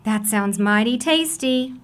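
A woman's voice: a little high, lively intonation, slow. A woman voicing a friendly, hungry female police officer in a children's storybook. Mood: appetite.

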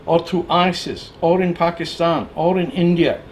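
A man in his sixties giving an opinion monologue to camera, emphatic and sardonic, with deliberate phrasing. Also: a little high, fairly even intonation. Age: 60s. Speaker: political commentator. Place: living room with bookshelves, indoors. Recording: clear background noise.

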